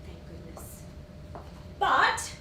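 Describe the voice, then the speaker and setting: Woman acting agitated society lady, high lively voice, halting and emphatic, stage play, distant noisy room. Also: exaggerated voice. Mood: probing, insistent. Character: Diana, agitated earnest society woman, indignant when accused. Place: theatre stage. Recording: roomy, distant, noisy theatre stage recording.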